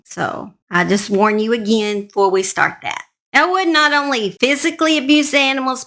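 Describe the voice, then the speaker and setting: A woman's voice: fairly high pitch, sharp tone. A woman host narrating a true crime story in an informal, plain-spoken, conversational way, speaking straight to her listeners.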